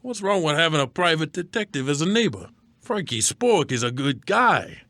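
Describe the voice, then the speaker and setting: A man's voice: fairly high pitch, sing-song, normal speed, sharp tone, dry recording, exaggerated voice. A man playing a blustery, wisecracking radio producer and disillusioned sports fan in a scripted comedy skit, with slangy, melodramatic talk. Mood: gruff wisecracking.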